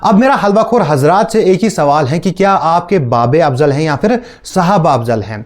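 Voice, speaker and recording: Sarcastic man with an animated delivery. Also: on the high side, moderate pace. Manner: sarcastic, teasing. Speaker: host of a video commentary channel. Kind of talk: casual mocking commentary on preacher clips.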